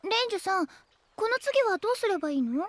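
A woman acting in a Japanese audio drama as a girlish young court lady who chats casually and brightly. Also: extremely high pitch, very animated, fairly quick, slight background noise. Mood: eager.

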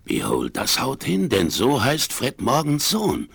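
An actor playing a grizzled, stubborn old frontiersman in a German western radio play, with a rough, folksy, emphatic delivery. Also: mid-range voice, wildly swooping pitch, unhurried, fairly bright tone, dry recording, heavily acted. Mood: eager, determined. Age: older. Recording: 1970s vinyl radio play.

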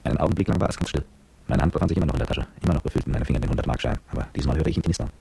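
Gravelly, fast-talking brooding male narrator. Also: very deep voice, warm tone, a little hiss or hum, heavily acted. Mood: brooding, uneasy. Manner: terse, reflective, colloquial. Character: brooding petrol-station attendant and former soldier, recalling events. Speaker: narrator and protagonist. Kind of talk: literary first-person radio drama narration.

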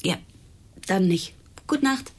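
A woman acting in a radio crime drama as a sardonic, no-nonsense policewoman, terse and dry with a husky voice, in a naturalistic style. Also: mid-range voice, animated, slow pace, faint music behind. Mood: probing, sardonic.

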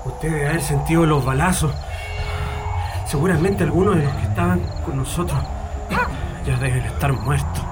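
A man's voice: on the high side, moderate pace, loud music behind the voice, natural voice. A Chilean man playing an anxious, exhausted paramedic who escaped prison, in a radio drama. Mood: shaken, weary. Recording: very noisy ambience.

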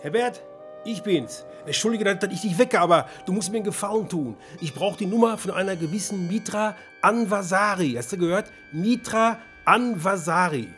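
A man's voice: high voice, expressive, moderate pace, a bit bright, faint music behind. An actor playing a harried, middle-aged man in trouble in a radio drama, talking in a colloquial, exasperated way. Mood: agitated. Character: harried, broke man in trouble with police, a father. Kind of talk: naturalistic radio drama acting.

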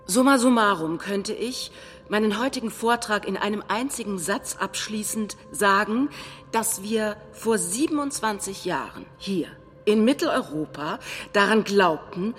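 A woman acting in documentary theatre, playing a combative, self-assured nationalist leader giving a measured speech. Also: mid-range voice, animated, lightly acted. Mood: measured, defiant. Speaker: actor in a political documentary theatre piece. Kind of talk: staged reading of a political speech. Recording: long hall reverb, faint music.

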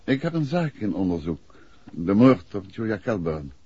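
A calm detective in a vintage radio drama. His voice sits low and is strongly husky, asking measured, courteous questions. The old recording sounds dull and muffled. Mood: probing, polite. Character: calm, probing male amateur detective. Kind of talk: 1960s Dutch radio play acting.

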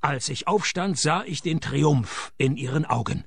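An actor with a Swiss accent playing a wry, laconic male private detective in a radio play, deadpan and sardonic as he talks and narrates. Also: fairly high pitch, a little slow, vocal fry, breathy edge, no echo, full character voice. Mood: wry narration. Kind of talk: radio play dialogue and first-person noir narration.

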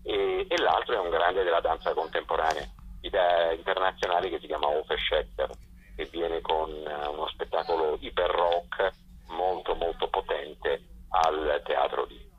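A deep-voiced man with a husky edge gives an unscripted presentation of an arts programme. He speaks haltingly, drawing out pauses as he searches for words. The sound is muffled and narrow, as over radio or telephone. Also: fairly even intonation. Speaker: festival director.

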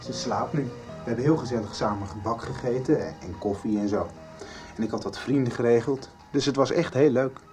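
An actor playing an earnest, emotional teenage schoolboy in a naturalistic radio drama, speaking informally and a little haltingly. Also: male voice, mid-range voice, a little mellow, faint music behind, close to an everyday voice. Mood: casual, subdued.

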